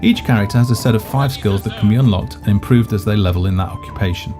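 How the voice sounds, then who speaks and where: A man presenting a video game in scripted walkthrough narration, measured and explanatory. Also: on the low side. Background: background music.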